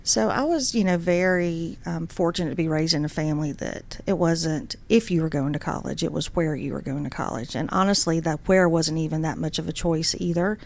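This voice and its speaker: A woman tells her story in an interview. She sounds earnest and friendly. Her voice sits on the low side. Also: fairly quick, creaky, hardly any pauses, darkish tone. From Georgia, USA. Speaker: guest, superior court judge. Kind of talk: casual interview.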